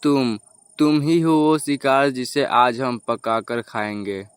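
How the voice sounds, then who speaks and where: Man playing a blunt, unhurried young crow. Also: medium pitch, clean tone, no room sound, natural voice. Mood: menacing. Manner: blunt, commanding. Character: hungry, gullible young crow, bossy eldest brother. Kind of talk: Hindi children's cartoon dialogue.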